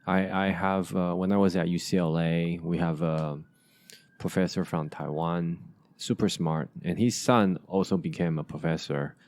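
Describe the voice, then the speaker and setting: Low-voiced halting man. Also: fairly even intonation, a little mellow, no room sound. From Asia. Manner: halting, many restarts and fillers. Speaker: podcast host. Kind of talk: casual conversation.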